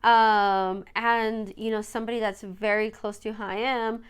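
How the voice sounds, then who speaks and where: A woman's voice: on the high side, flat delivery, unhurried, smooth. A young woman hosting a solo podcast in a casual, rambling way, full of fillers, her nose stuffy from allergies. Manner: rambling, many fillers and "you guys". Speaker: podcast host.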